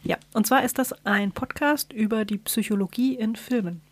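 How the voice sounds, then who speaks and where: A woman's voice: on the high side, normal speed. A woman co-hosting a podcast, chatting casually and explaining things while searching for her words. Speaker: podcast co-host.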